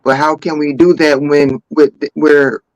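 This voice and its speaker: An American man in a casual, opinionated panel discussion, making his points deliberately and insistently, repeating words for stress. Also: fairly high pitch, level tone, no echo, low-quality recording. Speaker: panelist on a talk show.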